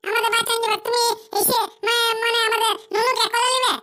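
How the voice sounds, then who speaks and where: Tiny squeaky village wife. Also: flat delivery, fast talker, bright, forceful tone, slight background noise. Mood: coaxing. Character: coaxing, sly young village wife. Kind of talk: comic cartoon dubbing.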